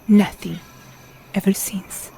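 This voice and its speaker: A woman's voice: slow pace, slight background noise, close to an everyday voice, poor audio. An actress playing a proud, determined Italian partisan woman in a video game, earnest and intense, with an Italian accent. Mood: grieving, resolute. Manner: earnest, intense, breaking into hushed tones. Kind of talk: dramatic video game acting. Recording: faint music behind.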